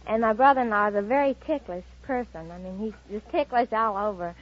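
A woman's voice: fairly high pitch, lively intonation, fast talker. A woman from Arkansas appearing as a quiz show contestant, answering and telling stories somewhat hesitantly. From Arkansas, United States. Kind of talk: interview answers, storytelling. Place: stage before a studio audience. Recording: dull old radio broadcast, some noise.

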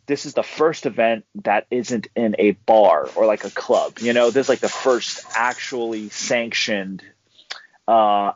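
A young man, a professional fighter, telling stories in a relaxed way as a guest on a casual podcast interview, laughing easily. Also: fairly low pitch. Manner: laid-back storyteller who laughs easily. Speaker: guest, pro MMA fighter. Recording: dull dry audio.

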